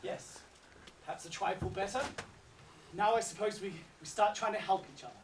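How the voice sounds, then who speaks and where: A woman playing a stern, earnest dead man trapped in hell, probing and deliberate in a theatrical stage-drama style. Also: medium pitch, close to an everyday voice. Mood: earnest pleading. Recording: echoey room, distant mic, clear noise.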